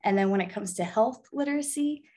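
A woman's voice: a little high, animated, fairly quick, mellow. A woman in her twenties with an American accent, giving upbeat, earnest conversational answers as an interview guest. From Arkansas, United States. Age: 20s. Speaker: guest, pharmacy student and pageant titleholder.

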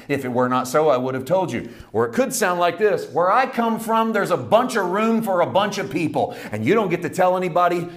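A man preaching a sermon, building with repeated phrases and dropping to hushed, intimate passages. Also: a little high. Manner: emphatic, repetitive preaching cadence, swings from loud to hushed. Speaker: pastor.

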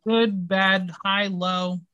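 Guy hosting a recap podcast, mid to high-ish voice, dry, repeats words for emphasis. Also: little pitch movement, very slow, pushed and bright, clear, resonant tone, lo-fi sound. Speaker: podcast host recapping a TV episode. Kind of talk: casual recap commentary.

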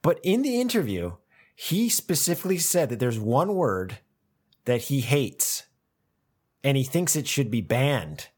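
A man talks through an idea in a relaxed academic conversation, circling back and repeating words as he works it out. His pitch swings widely from phrase to phrase, giving his speech an animated, searching lilt. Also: measured pace, slightly rough. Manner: thoughtful, repeats words while thinking aloud.

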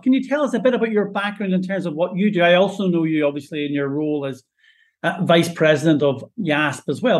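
A man hosts an interview and asks long, winding questions, often stopping to rephrase. His voice sits a touch higher than most men's. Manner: rambling, self-correcting questions. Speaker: interview host. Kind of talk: hosting a panel interview.

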